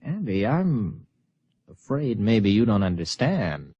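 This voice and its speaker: A man's voice: fairly low pitch, very animated, slow pace, warm tone, slightly rough, no echo, full character voice. An actor playing a scheming but polite city man in a radio drama, anxious, hesitant and easily flustered. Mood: awkward. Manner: hesitant, exclamatory. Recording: dry, dull radio-drama recording.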